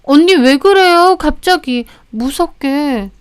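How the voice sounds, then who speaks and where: Woman acting a shrill, bratty younger sister-in-law. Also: expressive, slow pace, dry recording, soft background music. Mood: affronted. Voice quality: shrill, piercing. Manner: whining, argumentative.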